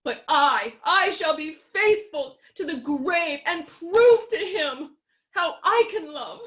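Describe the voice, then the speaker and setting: A very high, slow female voice as an actress plays a grief-stricken young widow. She laments theatrically and at length, self-pitying yet imperious, as in a stage comedy reading. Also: expressive, fairly bright tone, exaggerated voice. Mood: tearful devotion.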